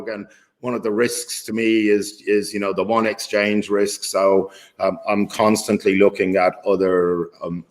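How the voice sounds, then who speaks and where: Blunt rambling man, low voice. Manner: rambling, lots of fillers, blunt with swearing. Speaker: guest market maker. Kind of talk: casual video-call conversation. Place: at home in his city.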